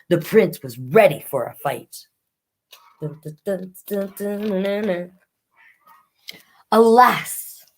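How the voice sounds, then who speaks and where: A woman hosting a children's storytime, reading picture books expressively with chatty asides to young listeners. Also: on the low side.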